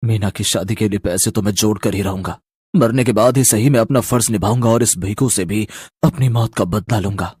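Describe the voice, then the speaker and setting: A man's voice: on the low side, brisk, darkish tone, breathy edge, dry recording, clean studio sound. A man voicing the vengeful, sorrowful ghost of a poor young man in a cartoon horror story, in a grim and resolute manner.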